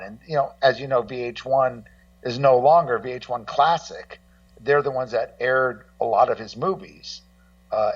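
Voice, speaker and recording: A man chatting casually in an interview, rambling and blunt, often halting and repeating words. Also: mid-range voice, no echo. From Los Angeles, United States. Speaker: guest co-host, documentary maker. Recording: dull, muffled, slightly distant sound.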